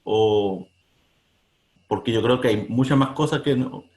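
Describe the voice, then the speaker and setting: A man speaking as a student in a class, hesitant and halting with many fillers. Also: lowish voice, darkish tone, echoey room.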